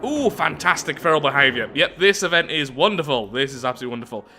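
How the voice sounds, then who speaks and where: A man's voice: fairly high pitch, lively intonation, fast talker, sharp tone, a little hiss or hum. A man hosting a game playthrough, switching between reading story text aloud and casual thinking-aloud asides. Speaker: gaming video host. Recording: music playing behind the voice. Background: background game music.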